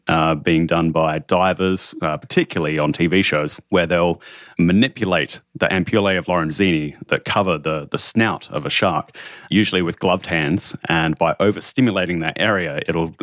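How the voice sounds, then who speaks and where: A man's voice: sits low, a bit bright, no echo, clean studio sound. A man hosting a podcast as a marine biologist, reading an upbeat intro and then explaining at length.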